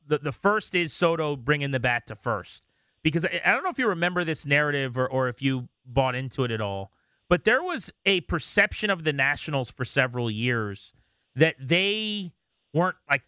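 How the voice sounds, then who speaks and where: A man's voice: on the high side, pushed and bright, dry recording, studio quality. A man hosting a sports radio show, chatting casually with a fan's enthusiasm. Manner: enthusiastic fan, conversational.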